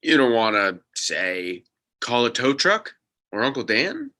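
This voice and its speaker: A man's voice: medium pitch, very animated, measured pace, sharp tone. A man playing a skeptical, practical young sidekick in a script read, with a wry, casual way of talking. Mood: sarcastic, doubtful. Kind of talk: table read of a TV pilot script.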